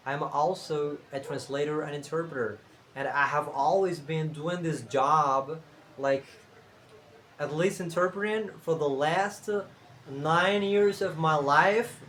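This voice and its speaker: Young man, Cuban Spanish accent, Spanish native speaker, slow pace, fillers and restarts, faint background noise. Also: a little high, a bit bright. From Cuba. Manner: unhurried, thinking aloud with many fillers and restarts. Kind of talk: casual talk to camera.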